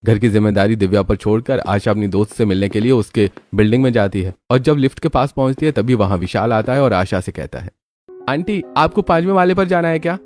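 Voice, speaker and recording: A man's voice: fairly low pitch, fast, few pauses, darkish tone, soft background music. A man narrating a scripted moral story as a storyteller.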